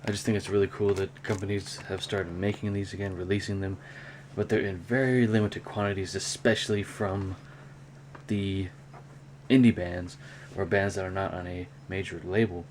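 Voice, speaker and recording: A man talking casually and unscripted in a hobby show-and-tell vlog, with a rambling, halting delivery. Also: deep voice, level tone. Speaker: hobby vlogger, cassette collector. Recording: clear background noise.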